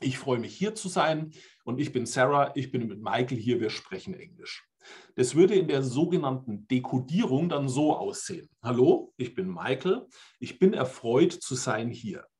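A man's voice: mid-range voice, expressive, moderate pace, a little mellow. A man in his forties from Germany explains his teaching method at an online conference. His voice sits in an ordinary middle register. He speaks over a webcam from a small room, with frequent checking-in tags. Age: 40s. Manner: explanatory, uses tag questions like "ne?". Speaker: language trainer. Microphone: webcam on video call.

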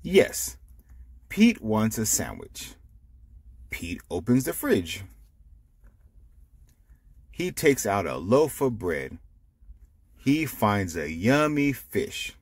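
A man reading a picture book aloud to children, explaining it simply with animated asides. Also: fairly low pitch, drawn-out words, long pauses, dry recording. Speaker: storytime reader.

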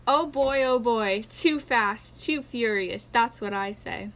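A woman plays a radio host who links sketches in an audio comedy. Her voice is a little high, chatty and musing. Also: takes it slowly, fairly bright tone. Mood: amused. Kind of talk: radio play hosting.

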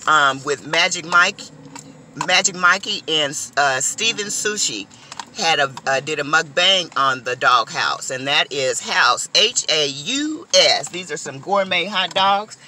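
Middle-aged woman food vlogger, bright and lively, talks straight to viewers from her car. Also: deep voice, unhurried, a little hiss or hum. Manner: chatty, animated, addresses viewers as y'all. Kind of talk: casual eating vlog. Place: inside a car.

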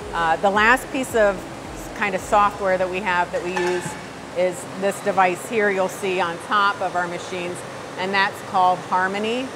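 A woman's voice: medium pitch, fairly even intonation, normal speed, background noise. A middle-aged woman, a company president, talking casually in an interview with lots of fillers and restarts, on a lapel mic. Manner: conversational, many fillers and restarts. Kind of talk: shop tour interview. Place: studio set, then machine shop floor. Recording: music bed under the voice.

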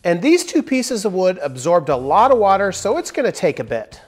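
A man's voice: high-pitched, big pitch swings, brisk, husky, soft background music. Upbeat man explaining workshop steps. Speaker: woodworking channel host. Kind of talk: workshop tutorial narration. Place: large wooden workshop, indoors.

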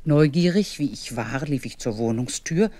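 A woman's voice: very deep voice, lively intonation, normal speed, mellow, no room sound. An actress playing a reflective grandmother who tells of her own childhood as a radio play narrator. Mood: reminiscing. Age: older.

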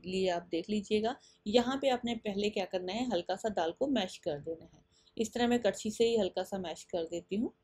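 A woman talks viewers through a recipe in a patient, instructive way, step by step. Her voice is mellow and soft-edged. The sound is close and dry, with no room around it. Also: medium pitch, no echo. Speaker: cooking channel host.

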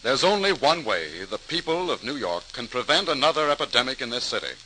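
Piercingly bright, urgent crusading doctor. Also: male voice, medium pitch, big pitch swings, a little fast. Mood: urgent, stern. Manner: emphatic, declamatory. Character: earnest crusading doctor rallying the public, stern, urgent. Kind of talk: 1940s radio acting, oratory. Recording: hissy, crackly 1940s radio recording.

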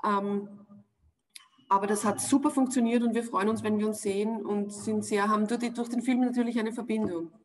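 An Austrian woman in her forties, talking over a video call from a room at home. She answers casually and with thought, often stopping on fillers as she looks for her words. Her voice sits at a middling pitch. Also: fairly even intonation, fairly quick. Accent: Austrian German. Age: 40s. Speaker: actress, interview guest. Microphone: video call webcam.

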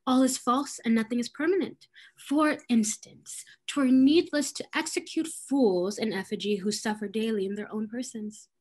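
A young woman playing an arch, quick-witted young lady of a period stage comedy, defiant about marriage. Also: on the high side, a little mellow, natural voice. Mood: scornful, witty. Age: young adult. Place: indoors, on a video call.

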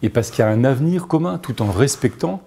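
A man's voice: mid-range voice, lively intonation, quick pace, creaky, warm tone, slightly rough. A man in his fifties giving a spontaneous interview answer as a mayor, emphatic and repeating words as he searches. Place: office or meeting room indoors.

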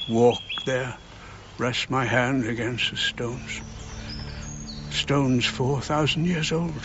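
An actor playing a frail, irritable, wistful man of ninety in a radio drama, speaking haltingly and broodingly. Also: mid-range voice, lightly acted. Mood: grieving. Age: 90s. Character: frail, irritable, wistful ninety-year-old English archaeologist. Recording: muffled, noisy, birdsong behind.

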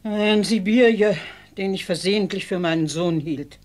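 An older woman playing a steadfast Siberian mother in a German radio play, emotive and deliberate. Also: mid-range voice, a little mellow, close to an everyday voice. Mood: guarded, steadfast. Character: old Siberian mother, anguished yet steadfast.

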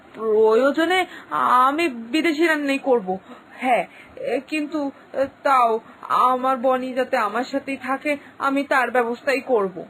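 Woman doing high voiced worried village mom for a cartoon, homely n earnest, bit of noise. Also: measured pace, long pauses, a bit bright, rough recording. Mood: worried, determined. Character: devoted, anxious village mother, simple homely cook. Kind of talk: cartoon story acting.

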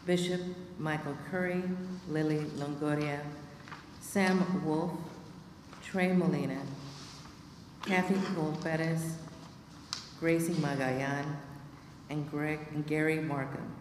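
A woman's voice: deep voice, fairly even intonation, slow pace, long pauses, noisy background. A middle-aged woman at a church lectern, steadily reading the prayers of the people and a long list of names. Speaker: lay reader leading prayers. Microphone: lectern microphone. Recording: echoey church from far off.

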